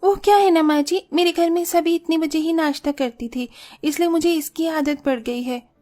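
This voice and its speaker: A woman acts a young cartoon daughter-in-law with a very high voice. She is breezy and lazy, polite on the surface but stubborn, always offering an excuse. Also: steady tone, moderate pace, dark tone. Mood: breezy, excusing.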